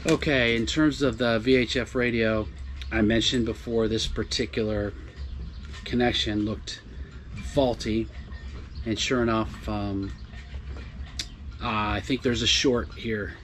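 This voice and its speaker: A man's voice: fairly low pitch, fairly even intonation, measured pace, long pauses, fairly bright tone, a little hiss or hum. A man in his fifties casually explaining a hands-on project, thinking aloud tentatively as he goes, recorded on a boat. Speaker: do-it-yourself boat vlogger. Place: open-sided boat cockpit at a marina.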